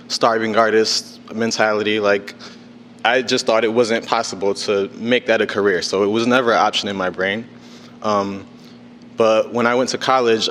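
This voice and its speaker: A man's voice: lowish voice, fairly bright tone, slight background noise. A young man from Connecticut, an artist telling his story on a panel in a loose, informal way. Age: young adult. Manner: informal, with fillers and restarts. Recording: long hall reverb.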